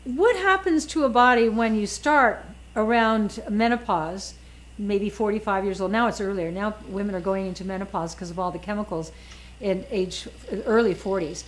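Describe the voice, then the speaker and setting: A woman's voice: medium pitch, moderate pace, rough recording. A woman in her sixties, an author, talking casually and emphatically, often repeating words as she makes her points. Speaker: author and guest. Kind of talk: casual chat.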